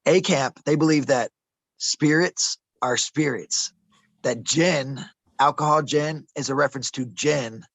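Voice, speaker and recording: Gravelly man telling wild stories. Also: mid-range voice, a bit bright, no room sound, poor audio. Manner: conspiratorial, says bro and man, trips over words. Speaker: interviewee, traveler telling his stories. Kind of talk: casual rambling storytelling. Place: outdoors in a desert camp.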